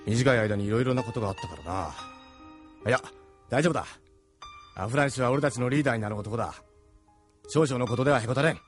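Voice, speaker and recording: An actor playing a steadfast, authoritative captain of a resistance ship in an anime audio drama, with a firm manner. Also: male voice, mid-range voice, fast, long pauses, soft background music, lightly acted. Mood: commanding, reassuring. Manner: firm, commanding.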